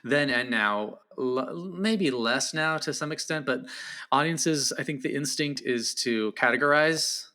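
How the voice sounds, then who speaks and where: Guy film critic chatting on a podcast, bright clear-cut tone, reflective, feels his way through sentences. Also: medium pitch, unhurried. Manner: thoughtful, reflective, searching for words. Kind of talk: casual film discussion.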